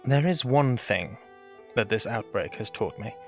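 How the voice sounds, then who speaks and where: A man playing a reflective, tender man in an audio drama, speaking earnestly and haltingly. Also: fairly low pitch, animated, faint music behind. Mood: wistful, tender. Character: reflective, tender man hoping to rekindle the past.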